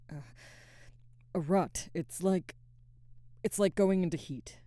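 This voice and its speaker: A woman playing a conflicted, protective alpha werewolf in an intimate ASMR roleplay, husky and halting, often slipping into a whisper. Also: on the low side, mellow, dry recording. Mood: awkward, reluctant. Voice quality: husky, breathy, frequently dropping to a whisper. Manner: halting, hesitant, sighing. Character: adult female alpha werewolf, conflicted, protective, barely restrained.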